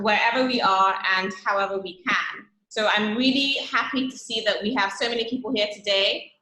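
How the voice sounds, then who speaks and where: Bright-voiced woman hosting an event. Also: a little high, roomy sound, rough recording. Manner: frequent "you know" fillers. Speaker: event host. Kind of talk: welcome speech.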